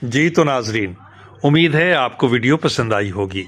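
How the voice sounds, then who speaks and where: A man's voice: mid-range voice, animated, fairly bright tone, soft background music. A male channel presenter speaks directly to viewers. His delivery is a scripted video outro.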